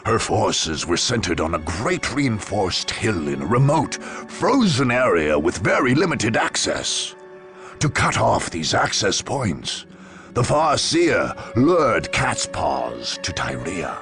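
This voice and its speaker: An actor playing a grave war chronicler, narrating a game in a solemn, theatrical, deliberate manner. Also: male voice, fairly low pitch, big pitch swings, music in the background, exaggerated voice. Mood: grave, scornful. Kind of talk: dramatic scripted game narration.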